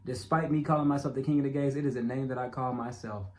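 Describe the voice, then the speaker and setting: A man's voice: medium pitch, steady tone, fast talker, a little mellow. A man with a stutter and a speech impediment, narrating his own documentary as a casual, emphatic monologue aimed at his viewers, often repeating himself. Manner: repetitive, emphatic, talks straight to viewers. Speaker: self-made documentary narrator. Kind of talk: casual direct-address monologue.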